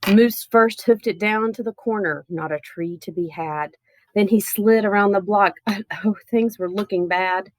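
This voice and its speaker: A woman reads a children's story aloud with playful, theatrical emphasis. Her voice sits at a medium pitch and is very dry, with hardly any room sound. She is expressive, leaning into key words. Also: a little slow, no room sound, low-quality recording. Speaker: storybook reader. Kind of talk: animated children's picture book read-aloud.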